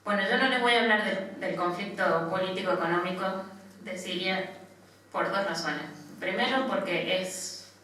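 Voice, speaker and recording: An Argentine woman in her thirties, a missionary nun, gives an informal personal testimony in a halting manner, often adding small tag questions. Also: fairly low pitch, bright, forceful tone. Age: 30s. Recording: echoey room, distant mic, faint noise.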